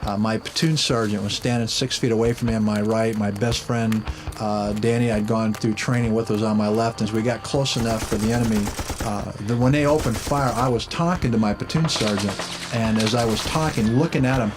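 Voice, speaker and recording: A male war veteran giving a first-hand recollection in a halting, pausing way. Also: fairly low pitch, steady tone. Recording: clear background noise.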